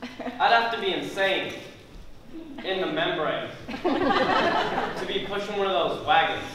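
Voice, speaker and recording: A man's voice: high voice, big pitch swings, takes it slowly, exaggerated voice. A man playing a folksy, stubborn oddball country customer in a stage comedy, with rustic old-fashioned phrasing. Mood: cheerfully odd. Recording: distant, very echoey, noisy theatre stage recording.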